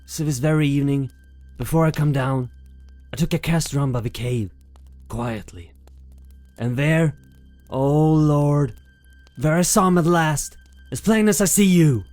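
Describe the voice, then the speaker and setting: An actor playing a frightened, agitated country shepherd, rambling in folksy rustic speech in an amateur audiobook drama. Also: male voice, a little high, a little slow, long pauses, darkish tone, no echo, faint music behind, close to an everyday voice. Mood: uneasy, hushed.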